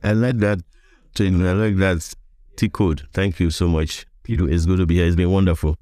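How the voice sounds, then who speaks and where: Male interview guest, Nigerian accent, low register, conversational with emphatic repetition, dry close recording. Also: steady tone, normal speed, dark tone, dry recording. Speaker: podcast guest, veteran voiceover artist.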